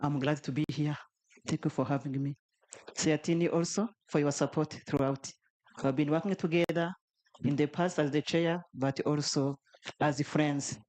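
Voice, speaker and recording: A woman's voice: low-pitched, fairly even intonation, normal speed, darkish tone, low-quality recording. A middle-aged woman legislator formally introducing herself and listing her roles at a policy dialogue.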